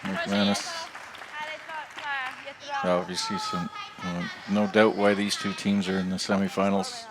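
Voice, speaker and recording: A man giving live curling commentary with animated play-by-play calls. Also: low voice, measured pace. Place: curling arena. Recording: arena crowd noise behind.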